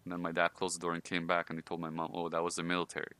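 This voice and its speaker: A man's voice: low-pitched, completely flat delivery, rapid-fire, pushed and bright, clean tone. A man from Iran hosting a podcast, telling his own life stories in a casual, laughing way with many fillers. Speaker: podcast host.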